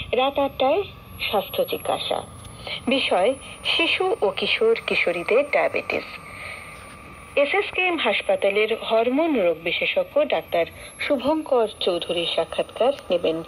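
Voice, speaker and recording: A woman with a West Bengal accent reads a scripted programme schedule as a radio announcer, in a formal, measured way, over a shortwave broadcast. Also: a little high, slight background noise, rough recording.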